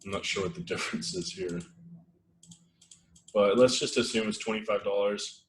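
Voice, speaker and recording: Low-voiced man hosting a live webinar. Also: moderate pace. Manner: relaxed, rambling, many fillers and restarts. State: losing his voice, clearing throat. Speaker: webinar host. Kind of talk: casual live webinar talk. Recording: echoey room with faint noise.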